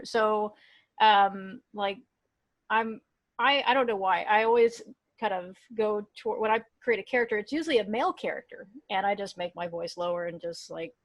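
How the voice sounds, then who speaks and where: A woman chatting casually about making characters, talking in an animated way and laughing often. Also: medium pitch, dry recording. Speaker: player. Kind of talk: casual podcast chat.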